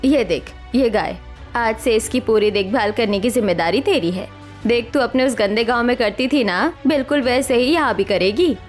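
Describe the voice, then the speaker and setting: A woman's voice: on the high side, expressive, normal speed, soft background music, close to an everyday voice. A woman playing a cruel, middle-aged mother-in-law in a cartoon story, scolding and giving commanding orders. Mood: bossy, scornful. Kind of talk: dramatic Hindi cartoon story acting.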